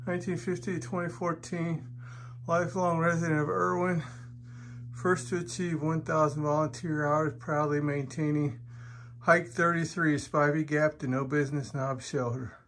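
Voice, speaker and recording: An easygoing man in his fifties rambles slowly into a handheld phone as he hikes a forest trail. His voice sits a little high, and his manner stays folksy and full of small fillers. Faint nature sounds drift in behind him. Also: a bit bright, a little hiss or hum. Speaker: thru-hiker vlogger. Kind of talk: casual trail vlog.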